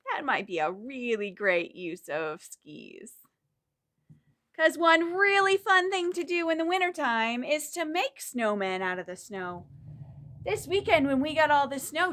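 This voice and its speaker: Lady doing kids storytime, really high voice, slow and sing-songy, super expressive. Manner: expressive, dramatic, with gasps and questions to children. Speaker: children's library storytime host. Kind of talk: animated picture-book reading and rhymes for young children.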